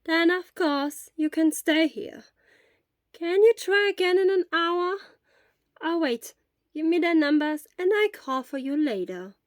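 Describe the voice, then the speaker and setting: A woman voicing a kindly but stern female school nurse in an audiobook, speaking in a measured, firm, explanatory way. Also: very high-pitched, lively intonation, dry recording, studio quality, voice pushed up. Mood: helpful. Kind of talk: acted audiobook dialogue.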